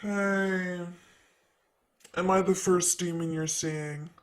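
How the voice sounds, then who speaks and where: Here is a man acting as a vain, flirty demon secretary in an ASMR roleplay. His voice sits a little high for a man, and he takes his time with every phrase. He is sassy and casually teasing, an ancient being doing desk work. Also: fairly even intonation, slow. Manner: teasing, casual, drawling asides.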